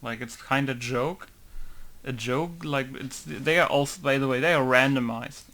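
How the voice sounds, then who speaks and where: A man's voice: mid-range voice, moderate pace, bright, forceful tone. Man muttering through a modding tutorial. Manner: muttering to himself, thinking aloud. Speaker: game modder. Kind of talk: casual tutorial while working.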